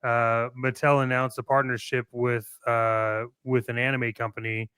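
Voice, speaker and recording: A man with a clear, smooth voice and a close, dry sound, chatting casually as a talk show co-host. He is blunt and opinionated in how he puts things. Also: medium pitch, little pitch movement, unhurried, sharp tone, no room sound. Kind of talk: casual chat about toy collecting.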